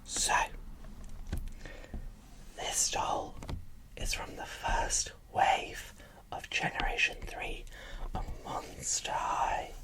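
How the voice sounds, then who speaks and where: An ASMR host speaking in a breathy whisper, giving casual unboxing commentary slowly and softly. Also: far from the mic, poor audio. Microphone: microphone set off to one side.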